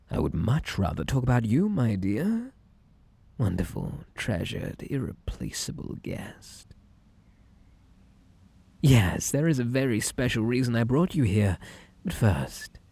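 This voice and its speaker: A man with a British accent playing a possessive, obsessive, sweetly menacing bunny man in an ASMR roleplay, coaxing and theatrical, with a breathy voice that slips into whispers. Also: medium pitch, mellow, dry recording, exaggerated voice. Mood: sweetly doting. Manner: theatrical, coaxing, drawn-out polite endearments.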